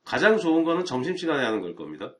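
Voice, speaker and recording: A man's voice: fairly high pitch, rapid-fire. Casual middle-aged man chatting on a live stream. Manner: relaxed, chatty, rhetorical asides. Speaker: IT networking instructor.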